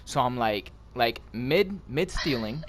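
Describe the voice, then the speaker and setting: Animated American man telling a story. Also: medium pitch, slow, slight background noise. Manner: animated, acts out dialogue, slangy and profane. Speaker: gaming channel host telling a story. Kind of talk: casual storytelling among friends.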